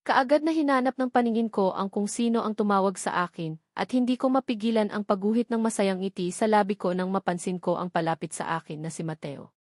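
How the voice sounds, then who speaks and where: Female audiobook narrator for first-person fiction, mid-range pitch, dry recording. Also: a little fast, a little mellow, no room sound.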